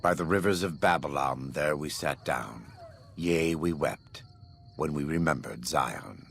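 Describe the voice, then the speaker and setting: Grave scripture-quoting wastelander, bottomless bass, gravelly, slow solemn recitation, game cutscene. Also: male voice, a bit bright, heavily acted. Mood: solemn, brooding.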